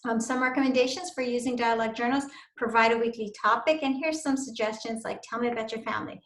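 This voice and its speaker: A woman's voice: fairly high pitch, steady tone, quick pace, fairly bright tone. A middle-aged woman, a university instructor, giving an earnest, encouraging recorded lecture to teachers over slides. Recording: echoey room.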